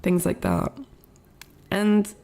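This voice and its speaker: Gravelly young woman with a cold. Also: on the low side, big pitch swings, very slow, warm tone. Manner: rambling, many fillers and restarts. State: has a cold, congested. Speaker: streamer. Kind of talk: casual chat with chat.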